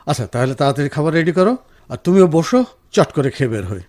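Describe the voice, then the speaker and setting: A man's voice: a little high, very animated, fast talker, a little mellow. A male actor playing a considerate, practical husband in a radio drama. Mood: caring. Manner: courteous, practical. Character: considerate, businesslike husband, polite and caring. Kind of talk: Bengali radio drama acting.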